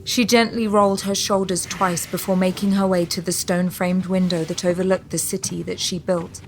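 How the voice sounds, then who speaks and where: A woman narrating a scripted audio drama in a measured, solemn storytelling manner. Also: on the low side, little pitch movement, a little hiss or hum. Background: music.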